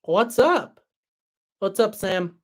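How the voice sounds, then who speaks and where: A young man hosting a livestream and answering chat in a relaxed, chatty way, his voice tired after hours of talking. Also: sits high, slow pace. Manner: relaxed, chatty, many fillers and restarts. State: voice tired after a long stream. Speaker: livestream host reading chat.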